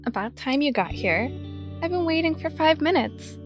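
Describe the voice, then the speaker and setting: A woman's voice: high-pitched, animated, normal speed, over music. A woman playing a bossy, dryly teasing teenage girl in an audio drama. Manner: dry, teasing, directive.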